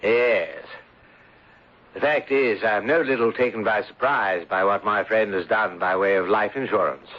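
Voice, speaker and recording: An actor playing an ingratiating, mournfully sympathetic English gentleman in a radio drama, with a florid, hesitant delivery. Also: on the low side, a little slow. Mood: polite. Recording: dull, lo-fi 1970s radio recording.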